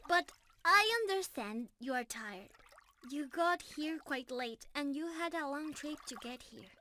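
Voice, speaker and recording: A woman's voice: high-pitched, lively intonation, unhurried, bright, forceful tone, a little hiss or hum, exaggerated voice. A woman voicing a young, sweet, adoring mermaid sweetheart in an emotional, affectionate ASMR romance roleplay. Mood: playfully flustered. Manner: affectionate, breathy sighs and giggles, emotional.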